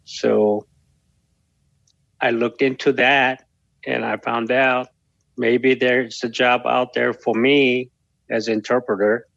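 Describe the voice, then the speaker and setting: A middle-aged man whose first language is Korean, answering interview questions slowly with many pauses and fillers. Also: medium pitch, little pitch movement, vocal fry, a bit bright, dry recording, lo-fi sound. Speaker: guest. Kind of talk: podcast interview.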